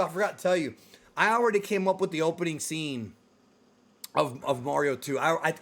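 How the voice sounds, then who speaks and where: A man hosting a casual discussion, talking in an animated, slangy way like an excited fan. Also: a little high. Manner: animated, slangy, addresses co-host as dude and yo. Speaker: host of a video game movie discussion show.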